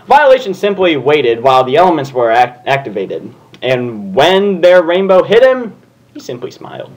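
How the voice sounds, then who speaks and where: A man casually reading a story aloud for comedy, halting, swearing and giggling. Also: on the high side, very animated, rough recording. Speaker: co-reader of a fan fiction reading show.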